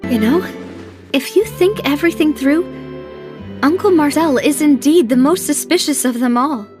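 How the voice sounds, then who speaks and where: A woman playing a poised, resolute young investigator in a video game, speaking in a deliberate, thoughtful way. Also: high-pitched, over music, lightly acted. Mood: pondering, analytical. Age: young adult.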